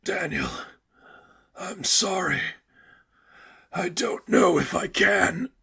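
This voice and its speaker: A man voicing a meek, devout young man who pleads in a halting, breathy, strained whisper. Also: high-pitched, big pitch swings, bright, forceful tone, voice pushed up, exaggerated voice. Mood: meek, pleading. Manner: halting, drawn-out, supplicating.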